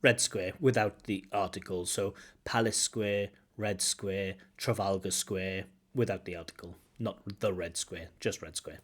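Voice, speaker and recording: A man from Wales, an English examiner, giving feedback on a speaking test and explaining points with examples and gentle corrections. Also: low-pitched, fairly even intonation, measured pace, few pauses. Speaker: IELTS examiner and teacher. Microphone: video call.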